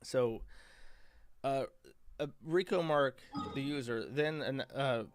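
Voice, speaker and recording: A man giving a casual spoken commentary as a host, in halting, stop-start phrasing. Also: medium pitch, smooth. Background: music.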